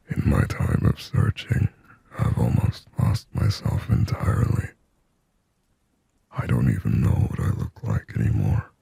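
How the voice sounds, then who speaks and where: A man playing a lonely, yearning sleep paralysis demon in a slow, breathy, raspy ASMR whisper, close to the microphone. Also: very deep voice, mellow, dry recording, heavily acted. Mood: wistful yearning, then anguished, fearful. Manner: slow, brooding, pleading, poetic. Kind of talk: whispered ASMR roleplay monologue.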